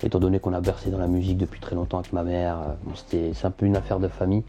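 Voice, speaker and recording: Young man, rapper guest, mellow dark voice, relaxed and hesitant, faint music behind. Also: low voice, racing. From France. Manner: relaxed, hesitant with many fillers. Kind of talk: casual interview chat.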